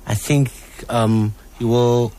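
A man's voice: mid-range voice, steady tone, takes it slowly, dark tone, poor audio. A man with a Cape Afrikaans-tinged South African accent, chatting loosely and laughing as a choir chairman in an interview. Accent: South African, Cape Afrikaans-influenced. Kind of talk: casual interview chat.

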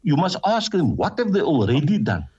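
Forceful South African politician, husky. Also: male voice, fairly high pitch, very animated, moderate pace, lo-fi sound. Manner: forceful, repetitive campaigning. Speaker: councillor and party leader. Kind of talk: radio interview answers.

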